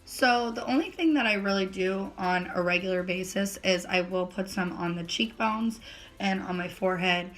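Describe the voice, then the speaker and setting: A young woman with a slightly raspy voice, still recovering from laryngitis, chatting casually through a makeup tutorial with lots of fillers. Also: mid-range voice, moderate pace, fairly bright tone, soft background music. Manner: chatty, filler-heavy step-by-step explaining. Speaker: beauty vlogger.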